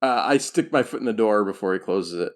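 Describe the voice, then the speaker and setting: Animated man playing a tabletop game. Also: fairly low pitch, fairly quick. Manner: wry, jokingly defensive. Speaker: player in a role-playing game. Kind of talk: casual tabletop game chat.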